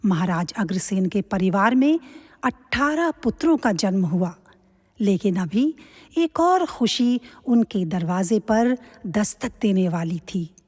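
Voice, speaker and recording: A woman narrating a devotional legend as scripted, measured storytelling in an audio drama. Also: medium pitch, expressive, darkish tone. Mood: measured, reverent. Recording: long reverb.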